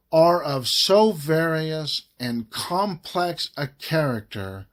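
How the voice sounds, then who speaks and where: A man reading a formal legal document aloud in a measured, emphatic way, pausing phrase by phrase. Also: on the high side, a bit bright. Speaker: reader of a government report.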